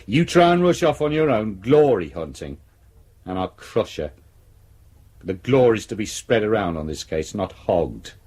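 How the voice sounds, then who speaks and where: A brusque, domineering police chief. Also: male voice, lowish voice, big pitch swings, measured pace, darkish tone, husky, heavily acted. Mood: stern, threatening. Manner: brusque, authoritative, threatening. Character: domineering senior police detective in charge of murder case. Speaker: senior detective. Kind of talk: radio drama acting.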